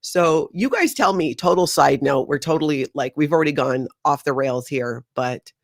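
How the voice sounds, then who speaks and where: A woman's voice: sits low, moderate pace, no room sound. A middle-aged woman from Hawaii hosting a casual live stream from her home studio, bubbly and chatty, often rambling off topic. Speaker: host.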